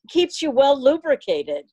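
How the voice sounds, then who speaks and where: A woman's voice: high voice, darkish tone, no room sound, muffled recording. An older woman chatting casually on a video call as she helps run an online meeting. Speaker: meeting organizer.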